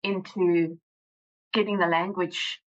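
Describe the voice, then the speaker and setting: A woman's voice: fairly low pitch, little pitch movement, slow, lo-fi sound. A woman from South Africa with a South African accent, whose first language is Afrikaans, speaking plainly in a casual interview with frequent restarts. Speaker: guest author and teacher.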